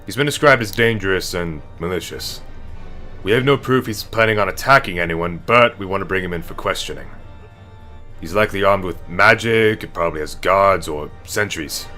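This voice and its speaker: A man's voice: low-pitched, bright, forceful tone, soft background music. A man playing a stern, solemn military captain who speaks formally and with command in a fantasy audio drama. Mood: businesslike. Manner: formal, commanding, deliberate oratory.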